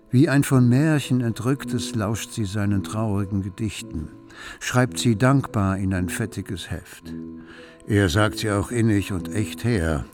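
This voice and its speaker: A man narrating a literary radio play in a measured, dramatic storytelling manner. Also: fairly low pitch. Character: literary narrator telling of a poor dreaming artist. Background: music.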